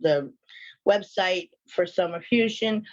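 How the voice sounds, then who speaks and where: A woman's voice: on the low side, slow pace, a little mellow, highs cut off. A woman hosting a live online show in casual, rambling, chatty talk, sounding sinusy and sniffly from allergies. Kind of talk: casual livestream chat with viewers.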